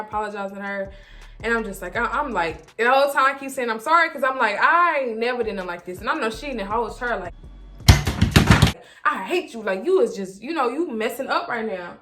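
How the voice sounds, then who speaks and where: A young woman telling a casual story to viewers in an animated way, acting out her own reactions and quotes as she goes. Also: fairly high pitch, brisk. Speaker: vlogger.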